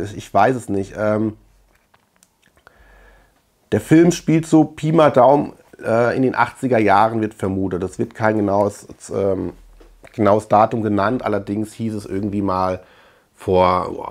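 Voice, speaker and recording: A middle-aged man giving a casual film review to camera as a host, halting as he searches for words. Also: on the low side, darkish tone. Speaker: host of a film collection channel. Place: small room lined with shelves of collectibles.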